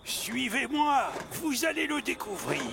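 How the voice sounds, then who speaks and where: Bossy, creaky-voiced cartoon pirate truck. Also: male voice, extremely high pitch, sing-song, a little slow, heavily acted. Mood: conspiratorial. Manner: theatrical, commanding. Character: jolly, bossy pirate captain truck, a prankster. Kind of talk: animated children's cartoon acting. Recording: loud music behind the voice.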